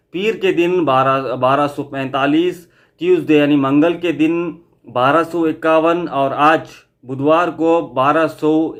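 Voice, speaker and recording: A man hosting a vlog, giving an informal news update and explaining points with repetition for emphasis. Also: fairly high pitch. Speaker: vlog host.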